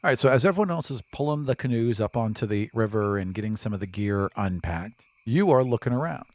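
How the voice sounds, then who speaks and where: A man's voice: fairly low pitch, expressive, fast. Casual male game master, faint insect noise. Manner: casual, descriptive. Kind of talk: narrating a tabletop roleplaying game.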